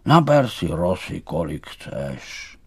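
A man voicing a big, prickly but obliging male thistle in a storybook, speaking slowly with drawn-out words. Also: deep voice, very animated, husky, voice pushed down, heavily acted.

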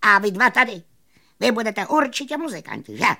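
Woman acting boastful, high-pitched little magician man. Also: huge pitch swings, gravelly, bright, forceful tone, full character voice. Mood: chatty, dismissive. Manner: theatrical, repetitive, exclamatory, grandiose. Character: boastful excitable little male magician, a showman.

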